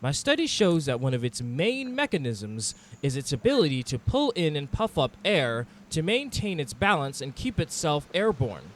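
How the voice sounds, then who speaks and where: A man's voice: a little high, big pitch swings, moderate pace, hardly any pauses, slight background noise. A man narrating a monster-hunting game in the first person, in a dramatic storytelling manner, as a scripted adventure. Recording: music behind the voice.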